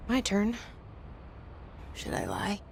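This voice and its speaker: A woman playing a wary, wry young woman's inner monologue in a video game, hushed, intimate and measured. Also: medium pitch, wildly swooping pitch, gravelly, slight background noise, full character voice. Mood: wry, guarded. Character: wary, dryly wry young woman thinking to herself, new agency director.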